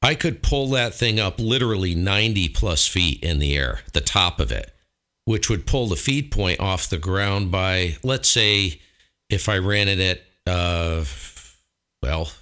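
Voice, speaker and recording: A man's voice: deep voice, animated, measured pace, sharp tone. A man talking casually as an amateur radio operator, thinking aloud as he goes. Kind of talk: casual ham radio chat.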